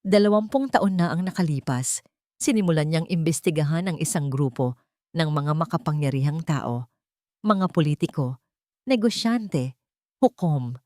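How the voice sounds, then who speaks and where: A woman's voice: deep voice, animated, moderate pace, mellow, no echo, studio quality. A woman with a Filipino accent narrating a scripted dramatic story.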